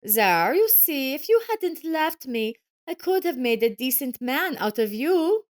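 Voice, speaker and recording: A woman's voice: high-pitched, sing-song, unhurried, voice pushed up. A woman voicing a sneering, condescending middle-aged ex-wife with a mocking, haughty manner in an audio story.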